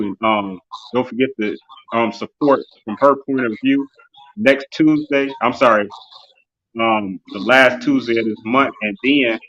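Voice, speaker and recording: Male host, 40s, mid pitch, rambling casual delivery, muffled lo-fi audio. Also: a bit bright. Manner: loose and rambling, heavy with "you know" and "um". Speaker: host of an interview show. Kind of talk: casual live-stream show closing.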